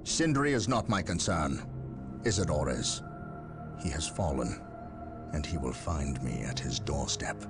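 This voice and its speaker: An actor playing a stern male space marine captain in a video game cutscene, speaking in a measured, commanding way. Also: rumbling low, expressive, over music, full character voice. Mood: resolute.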